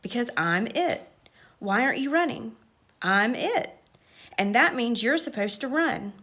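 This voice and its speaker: A woman's voice: a little high, lively intonation, unhurried, a bit bright. A woman voicing a blunt, bossy young girl in a storybook, barking impatiently.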